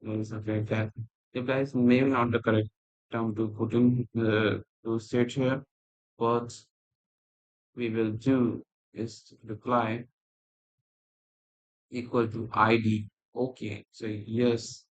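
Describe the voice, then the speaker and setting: A man teaching a coding tutorial while screen recording, talking in a halting, rambling way with non-native phrasing, recorded on a laptop's built-in mic. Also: medium pitch, level tone, lo-fi sound. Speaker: programming tutor. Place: at a computer, indoors.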